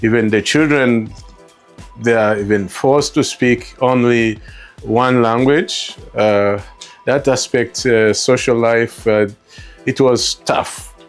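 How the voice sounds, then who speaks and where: A middle-aged man from Rwanda with a Rwandan accent tells his personal story as an interview guest, halting and repeating words as he reflects. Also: mid-range voice, fairly even intonation, clean tone, soft background music. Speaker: interview guest, store co-founder.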